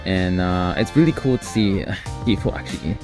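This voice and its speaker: A man talking casually on a live stream as a developer, in a halting way, often repeating and restarting his phrases. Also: deep voice, animated, music in the background. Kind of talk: casual live stream talk about a coding project.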